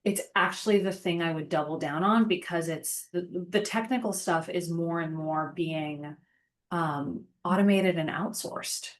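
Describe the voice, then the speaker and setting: A woman in her thirties talks through her career in a relaxed interview. She is chatty and reflective, with fillers scattered through her speech. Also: lowish voice, a little slow. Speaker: podcast guest, sales engineering manager. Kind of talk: casual interview conversation.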